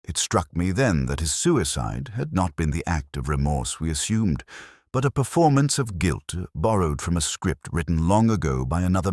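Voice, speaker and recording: A man narrating a first-person detective story in a measured, brooding, literary manner. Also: low-pitched, no echo, studio quality. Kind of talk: scripted first-person audio drama narration.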